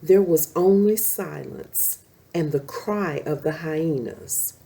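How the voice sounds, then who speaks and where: Woman, read-aloud storytelling, slightly low pitch, creaky, unhurried, expressive. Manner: pauses to explain pictures to listeners. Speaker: children's story reader.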